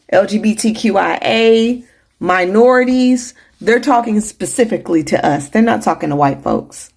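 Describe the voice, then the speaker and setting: A woman's voice: fairly high pitch, a little mellow. An American woman giving casual political commentary for social media in a colloquial, emphatic, incredulous way.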